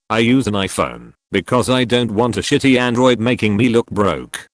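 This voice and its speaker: Low-voiced man reading posts aloud. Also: dry recording. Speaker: narrator reading forum posts. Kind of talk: scripted reading of online comments.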